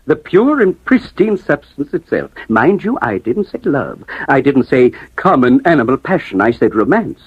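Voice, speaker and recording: A man's voice: mid-range voice, sing-song, moderate pace, few pauses, husky, dry recording. An American man playing a persistent, genial salesman in a 1950s radio drama, persuasive, flowery and emphatic, on a dull vintage recording. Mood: grandiose. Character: smooth-talking, persistent male romance-service salesman, genial and grandiose.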